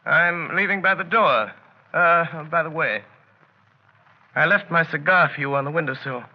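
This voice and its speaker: An actor playing an unflappable male detective in 1930s radio style, calm, measured and ironically polite. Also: fairly high pitch, close to an everyday voice. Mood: cool, mocking. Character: cool, unflappable, courteously taunting male detective. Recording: muffled old 1930s radio recording.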